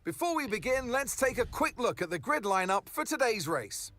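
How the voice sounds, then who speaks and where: Brisk motorsport commentator man. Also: very high voice, sing-song, sharp tone. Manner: brisk broadcaster delivery, listing names. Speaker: race commentator.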